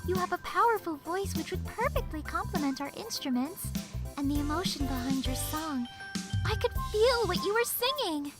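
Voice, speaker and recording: A young anime schoolgirl with a very high, childlike voice. She is polite, cheerful and earnest in a visual novel style, with loud music playing underneath. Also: animated, normal speed. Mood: enthusiastic.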